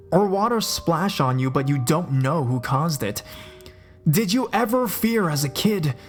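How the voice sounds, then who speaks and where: A man telling scary stories in a scripted narration, dropping his voice low and slowing down for suspense. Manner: dramatic, drops low and slows for suspense. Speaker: horror story narrator. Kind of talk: scripted horror story narration. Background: faint music.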